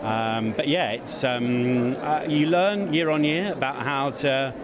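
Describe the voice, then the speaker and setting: A man's voice: medium pitch, slow. A man being interviewed as an event producer, effusive and a bit hesitant, in casual chat at a busy venue. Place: theatre foyer before an event. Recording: crowd noise at busy venue. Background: crowd chatter.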